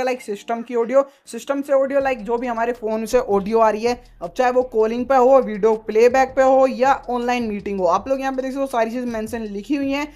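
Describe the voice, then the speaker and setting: A man's voice: very high-pitched, darkish tone. A man giving a casual phone tutorial as a tech YouTuber, mixing in English terms and leaning on repeated filler phrases.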